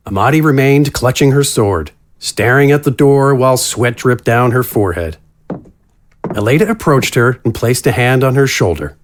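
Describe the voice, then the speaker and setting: A man narrating a scripted fantasy story as its narrator. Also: medium pitch.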